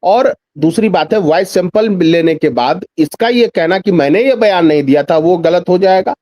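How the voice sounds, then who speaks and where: A man with a high voice gives political commentary in a dry-sounding interview. He speaks emphatically, repeating phrases for weight. He often drives his points with rhetorical questions. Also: moderate pace, mellow, no room sound, muffled recording.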